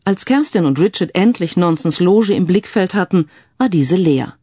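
A woman's voice: fairly low pitch, expressive, normal speed, mellow, no room sound, studio quality. A woman narrating a scripted crime story as an audiobook reader.

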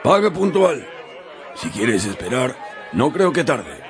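An actor playing an easygoing innkeeper at a sailors' inn in a radio drama, coaxing and matter-of-fact. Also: male voice, medium pitch, very animated, slow pace. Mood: businesslike. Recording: music and tavern crowd behind.

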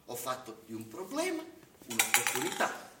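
An actor playing a sardonic, boastful restaurant chef in a comic theatre monologue, talking colloquially and digressing, full of rhetorical check-ins. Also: male voice, medium pitch, wildly swooping pitch, normal speed. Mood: sardonic, rambling. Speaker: stage actor in a satirical monologue. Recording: distant roomy live recording, faint noise.

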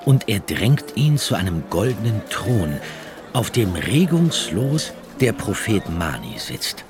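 Lowish-voiced man narrating a radio drama. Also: big pitch swings, takes it slowly. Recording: loud music and crowd behind.

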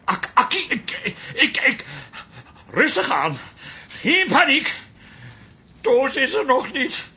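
An actor playing an anxious, jealous middle-aged husband in a radio drama, stammering and repeating himself when flustered. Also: male voice, very high-pitched, very animated, slow pace, pushed and bright, exaggerated voice. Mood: panicked, exasperated.

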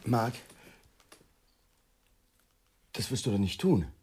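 A man's voice: lowish voice, big pitch swings, brisk, darkish tone, slight background noise. An actor playing a sensitive, brooding middle-aged man in a radio play. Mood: exasperated. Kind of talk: radio play acting and monologue.

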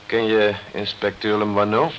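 A man's voice: on the low side, completely flat delivery, normal speed, a bit bright, slight background noise, close to an everyday voice, poor audio. An actor playing a determined, blunt British man in a crime radio play, speaking tersely, earnestly and insistently. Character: determined, blunt British man fighting to clear an accused friend.

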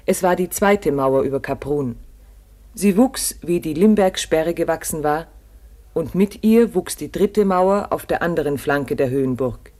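A man's voice: on the high side, lively intonation, unhurried, a little mellow. A man narrating a scripted radio documentary drama in a solemn, literary manner.

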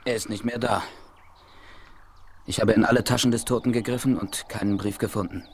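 An actor playing a noble, observant Apache chief in a 1970s radio play, speaking in a formal, measured, declamatory way. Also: male voice, fairly low pitch, fairly even intonation, lightly acted. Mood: probing, grave. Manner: formal, declamatory, measured, addresses others in third person. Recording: noisy 1970s vinyl radio play rip.

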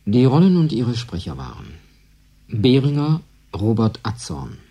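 A man with a husky voice reading formal scripted credits as a radio announcer. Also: mid-range voice, wildly swooping pitch, unhurried, dark tone.

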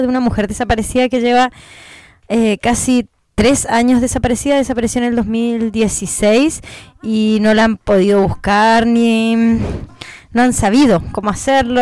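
A woman co-hosting a radio show, talking casually with pauses and fillers. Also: fairly high pitch, a little mellow. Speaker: radio co-host.

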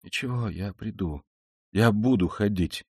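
A man voicing a brooding, tormented young man in an audiobook novel, speaking in a halting, abrupt manner. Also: fairly low pitch, lively intonation, dry recording, clean studio sound, close to an everyday voice. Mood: subdued.

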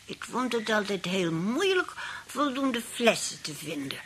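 An actress playing a sly, genteel old aunt who rambles politely and feigns helplessness in a radio play. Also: female voice, mid-range voice, sing-song, a little slow, background noise. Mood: genteel, chatty.

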